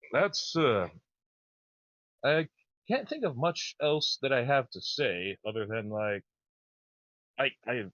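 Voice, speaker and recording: A man in his thirties with a naturally low voice, chatting casually and enthusiastically as a podcast host. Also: fairly bright tone, no echo, dull audio. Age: 30s. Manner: rambling, enthusiastic. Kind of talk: casual chat.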